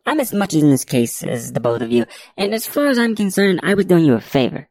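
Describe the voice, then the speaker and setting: A woman's voice: fairly low pitch, sing-song, fairly quick, a little mellow, no room sound, voice pushed up. A woman voicing a bold, argumentative, pleading female private investigator in an audiobook. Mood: defiant. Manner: insistent, argumentative.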